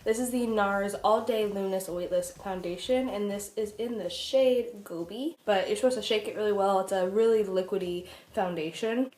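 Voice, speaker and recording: A woman's voice: mid-range voice, normal speed, few pauses, darkish tone. Stuffed-up young woman vlogging in an echoey room. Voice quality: stuffed-up, nasal from a cold. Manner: chatty, self-deprecating, informal. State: recovering from a cold, congested. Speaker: beauty vlogger, makeup artist. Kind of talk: casual get-ready-with-me makeup tutorial. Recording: echoey, roomy sound.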